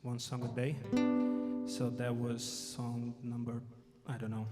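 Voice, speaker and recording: Soft-spoken man on stage. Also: medium pitch, monotone. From Brazil. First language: Portuguese. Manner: soft-spoken, hesitant, rushed asides. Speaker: singer-songwriter. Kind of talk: stage talk between songs. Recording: reverberant live hall with music.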